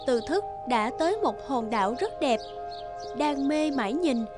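A woman narrates a fairy tale from a script. Her voice sits high, and music plays clearly underneath the storytelling.

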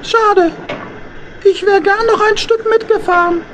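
A woman's voice: very high voice, steady tone, measured pace, noisy background. A woman voicing a cheerful, eager little hedgehog in a German children's audio play, exclaiming with singsong wonder. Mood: polite, wistful.

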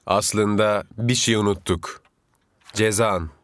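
Cartoon official, low voice, stern, curt, businesslike. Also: male voice, steady tone, slow, no echo. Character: stern, curt male official handing out penalties. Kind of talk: animated children's cartoon dub.

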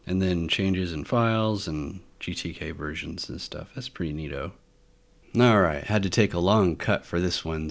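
A man with a low-pitched voice talks through what is on his screen. He is casual and unscripted, rambling a little and thinking aloud like a hobbyist video host.

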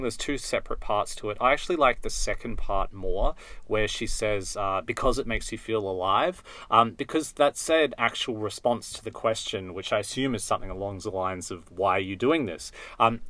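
Guy with a lowish voice walking thru editing software step by step, kinda thinking out loud. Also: dry recording. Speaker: video editing tutor. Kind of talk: unscripted software tutorial narration.

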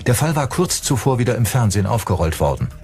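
A man's voice: medium pitch, sing-song, fast talker, creaky, a little mellow. A man reading scripted true-crime documentary narration as the narrator.

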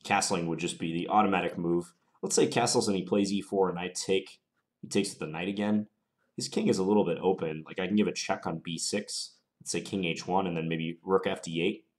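A man with a slight cold, thinking aloud in a calm, measured way as he plays and comments on an online chess game. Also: low-pitched.

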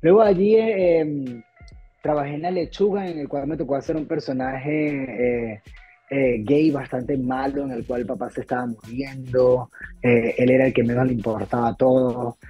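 A man's voice: mid-range voice, fairly even intonation, normal speed, slightly rough. A man from Venezuela, an actor, chatting casually on a video call about his stage roles, full of fillers and restarts. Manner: rambling, many fillers and restarts. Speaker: actor guest. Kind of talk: casual interview chat. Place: home room, video call. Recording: dull lo-fi video call audio with faint music.

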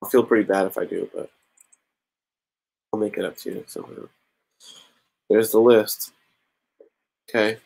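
Casual low-voiced man hosting a raffle. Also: steady tone, moderate pace, creaky, pauses often, a little mellow, poor audio. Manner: casual, chatty, counting aloud with laughs. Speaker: YouTube comic channel host. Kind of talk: casual livestream raffle chat.

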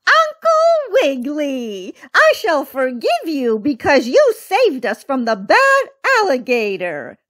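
A woman voicing a prim lady mouse schoolteacher with a squeaky voice, politely scolding in a children's storybook. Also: wildly swooping pitch, slow pace, few pauses, a bit bright, heavily acted. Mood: relieved. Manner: polite, reproachful.

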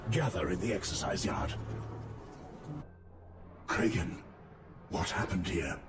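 An actor playing a grim, older, hardened ranger commander in a video game, stern and menacing, with a gravelly voice. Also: male voice, deep voice, expressive, roomy sound, recorded from a distance. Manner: stern, slow menacing delivery. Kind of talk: video game cutscene acting.

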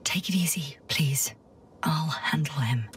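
A woman playing a determined space scientist stranded on a planet, measured and breathy in a dramatic game performance. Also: deep voice, recorded from a distance, heavy background noise. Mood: calming.

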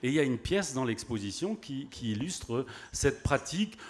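A man on a live panel explains things in an easy, informative way, slipping in asides as he goes. His pitch is a middling male register. He speaks at a live public event. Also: very animated, moderate pace. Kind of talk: casual panel talk.

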